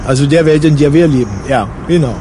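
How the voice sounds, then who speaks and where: A man's voice: a little high, fast talker, noisy background, lightly acted. A man playing a flippant, mock-naive travel companion in a radio play, teasing in colloquial banter. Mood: wry. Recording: busy crowd and travel sounds behind.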